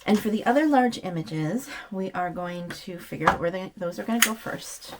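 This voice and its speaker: A woman's voice: mid-range voice, moderate pace, a little hiss or hum. A woman chatting casually through a craft project, thinking aloud and correcting herself as she works. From United States. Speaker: craft channel host. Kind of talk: casual craft-along chat, narrating while working.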